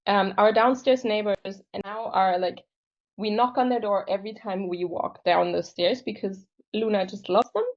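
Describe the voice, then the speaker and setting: A woman's voice: mid-range voice, steady tone, normal speed. Woman telling a personal story, slightly muffled. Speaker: program participant telling her story. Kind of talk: casual personal storytelling on a group call. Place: video call. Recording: slightly dull video-call audio.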